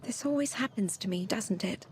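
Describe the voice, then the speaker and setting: A woman playing a blind young princess in an audio game, wry and bitter yet vulnerable, with measured delivery. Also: medium pitch, animated, a little mellow, slight background noise, close to an everyday voice. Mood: confused, small. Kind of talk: dramatic audio game narration and dialogue.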